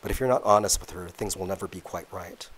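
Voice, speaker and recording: A man reads as an old woman in a spoken story, a fretful mother. The delivery is quick and plain, with a deep voice kept close to his own. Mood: anxious.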